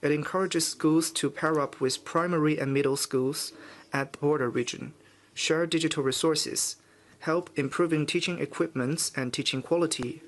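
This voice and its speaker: A man interpreting official statements live at a press conference, in measured, formal phrases with halting breaks. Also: fairly high pitch, slight background noise.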